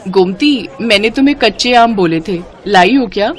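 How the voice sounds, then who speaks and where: A woman's voice: mid-range voice, lively intonation, moderate pace, some chatter behind, lightly acted. A woman voicing a friendly, appreciative young married woman in a moral story, speaking with easy familiarity. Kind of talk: Hindi moral story character dialogue.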